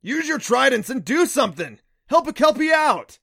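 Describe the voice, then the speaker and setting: Man voicing an excitable boy adventurer, high and urgent. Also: a little fast, gravelly, no echo, clean studio sound, exaggerated voice.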